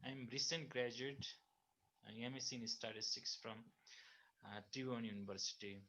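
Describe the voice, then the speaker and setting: A man from Nepal with a bright, tense tone introduces himself quietly and briefly. A faint hiss runs under the recording. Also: mid-range voice, normal speed. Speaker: recent statistics graduate.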